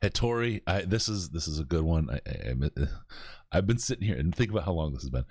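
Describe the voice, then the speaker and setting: A man's voice: sits low, lively intonation, fast, darkish tone, no room sound, clean studio sound. A middle-aged man from Michigan with a Michigan accent, hosting a podcast in casual, rambling chat with lots of restarts. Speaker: podcast host. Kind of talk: casual charity stream chat.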